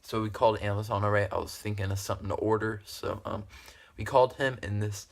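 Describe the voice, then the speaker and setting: A man's voice: fairly low pitch, fairly even intonation, fast talker. A young man telling a story casually to camera in a rambling, giggly, repetitive way. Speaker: video creator telling stories.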